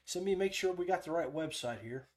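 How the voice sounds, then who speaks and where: A man with a moderately high-pitched voice hosts an informal how-to session. He walks through each step as he does it, thinking out loud in a conversational way. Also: animated, fast. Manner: thinks aloud while demonstrating step by step. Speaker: podcast host giving a software tutorial. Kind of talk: casual live screen-share tutorial.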